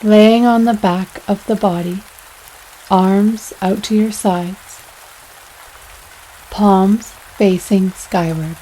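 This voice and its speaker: Woman doing a guided meditation, really slow and soothing, soft mellow tone, rain going in the background. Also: medium pitch, a little hiss or hum, low-quality recording. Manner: soothing, unhurried, pausing between phrases. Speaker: yoga nidra guide. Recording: steady rain sounds over voice. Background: rain sounds.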